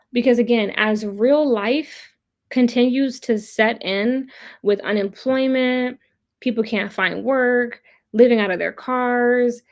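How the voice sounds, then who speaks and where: A woman's voice: on the high side, darkish tone. A woman hosting a casual livestream of commentary, chatting with viewers in a blunt, opinionated, profane way and repeating points for emphasis. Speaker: host of a pop culture commentary livestream. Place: live online stream.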